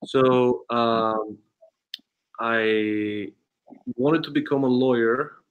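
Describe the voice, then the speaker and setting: Interview guest: Bulgarian-accented man in his thirties, earnest, pauses to find words. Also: mid-range voice, steady tone, clear, resonant tone, lo-fi sound. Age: 30s. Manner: earnest, searching for words with many ums. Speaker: guest, sales trainer and consultant. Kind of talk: casual interview talk.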